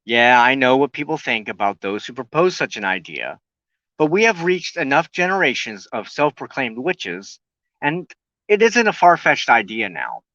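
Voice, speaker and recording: Bright-voiced man, halting show host. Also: medium pitch, big pitch swings, dry recording, highs cut off. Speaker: host of a weekly occult broadcast. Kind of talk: scripted monologue, partly read aloud.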